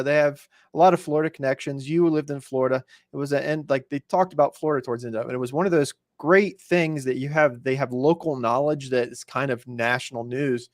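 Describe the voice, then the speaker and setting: A man's voice: a little high, dry recording, studio quality. A man co-hosting a podcast, explaining things in casual, colloquial talk. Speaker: podcast co-host. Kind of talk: casual chat, explaining news.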